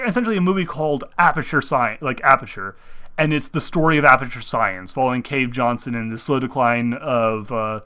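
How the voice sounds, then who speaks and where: A young man hosting a casual chat about video games, rambling and excitable, restarting his sentences often. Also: on the high side. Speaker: host.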